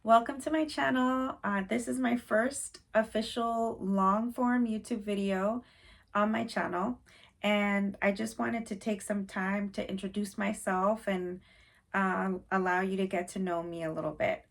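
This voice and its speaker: A woman's voice: medium pitch, steady tone, measured pace, gravelly. A young woman from Haiti, chatting casually to camera in her first vlog, a little nervous and full of fillers. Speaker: vlogger.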